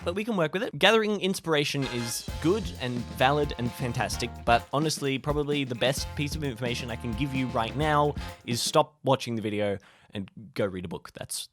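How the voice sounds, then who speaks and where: A man's voice: medium pitch, a bit bright. A young man giving casual advice in a vlog, with a wry, self-deprecating manner. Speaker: video host.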